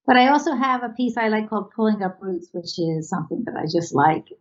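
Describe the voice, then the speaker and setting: A woman giving reflective, halting interview answers as an artist, recorded over an internet video call. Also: mid-range voice, lively intonation, lo-fi sound.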